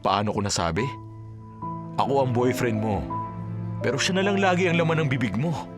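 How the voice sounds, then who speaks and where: An actor with a Filipino accent playing a jealous, wounded and devoted boyfriend in a radio drama. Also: male voice, mid-range voice, moderate pace, over loud music, close to an everyday voice. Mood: hurt, jealous.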